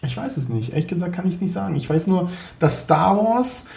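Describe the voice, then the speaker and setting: Forties male guest, hesitant, slightly high, roomy sound. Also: lively intonation, brisk, creaky, a little mellow. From Berlin, Germany. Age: 40s. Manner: hesitant, many fillers and restarts. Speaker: podcast guest, 3D graphics artist. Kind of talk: casual interview chat.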